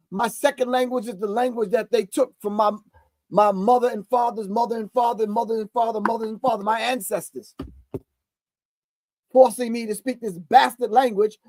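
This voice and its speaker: A man in his sixties hosts the show, pausing a video to comment. His voice sits high for a man, and he preaches with emphasis, repeating phrases for effect. The sound is close and dry, with no room around it. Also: a little mellow, no echo. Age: 60s. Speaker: host. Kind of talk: live commentary reacting to a video.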